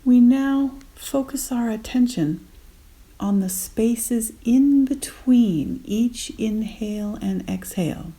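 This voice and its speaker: A woman guiding a meditation gently and unhurriedly in short spaced phrases, with soft, breathy endings. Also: fairly high pitch, slow, poor audio. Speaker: meditation guide. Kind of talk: guided meditation.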